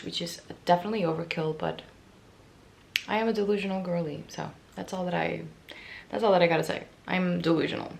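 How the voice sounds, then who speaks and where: A young woman vlogging casually about books, her voice raspy and hoarse from yelling, chatty and self-mocking, with little stumbles and repeated words. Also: on the low side. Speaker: book vlogger. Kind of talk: casual vlog chat.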